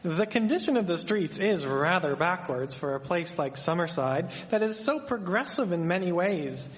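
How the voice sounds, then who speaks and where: Radio drama: male actor as easygoing 1870s village husband, measured old-fashioned diction, recorded live with hall echo. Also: sits high. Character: genial, civic-proud Victorian husband. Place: performing arts theatre stage. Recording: echoey hall, live stage performance.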